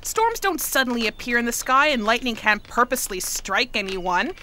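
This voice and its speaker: A woman's voice: on the high side, big pitch swings, normal speed, bright, forceful tone. A woman playing a breezy, argumentative dancer sister who exclaims dramatically in a comic sci-fi audio play. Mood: chiding. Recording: clear background noise.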